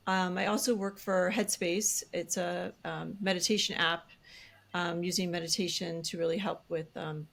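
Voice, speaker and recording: A woman's voice: on the low side, fairly even intonation, moderate pace, gravelly. A woman, a psychotherapist introducing herself in a friendly way with many fillers. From United States. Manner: friendly, filler-heavy speech. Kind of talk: panel introduction.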